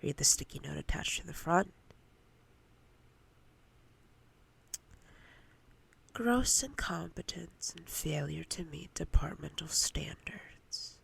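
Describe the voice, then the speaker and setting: A woman voicing a domineering, predatory female boss in intimate roleplay ASMR, speaking in a breathy, often whispered voice with slow, commanding, teasing menace. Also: sits low, animated, fairly bright tone, heavily acted. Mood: stern, intimidating.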